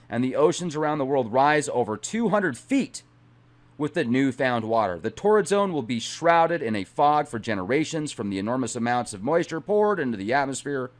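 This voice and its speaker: A middle-aged man hosting a channel, speaking in a dramatic, emphatic way as he reads aloud and comments. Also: medium pitch. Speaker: channel host. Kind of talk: dramatic reading aloud with casual asides.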